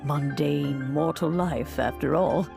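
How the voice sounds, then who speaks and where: A woman voicing a kindly elderly granny in a video game, speaking in a formal, measured, gracious way. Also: low voice, vocal fry, over music, heavily acted. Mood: gracious, composed. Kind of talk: video game character acting.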